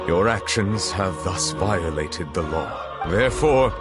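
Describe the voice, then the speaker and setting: A low, formal male voice over game music, an ancient dragon who serves as a solemn chief judge. His speech is measured and grave. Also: lively intonation. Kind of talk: video game voice acting. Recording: game audio with music behind.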